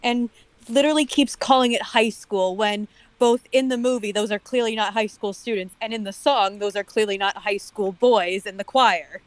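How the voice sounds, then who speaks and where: A woman with an average-pitched voice chats as a guest commentator in a very dry recording. Her delivery is casual, wry and critical. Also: normal speed, no echo. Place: remote online voice call.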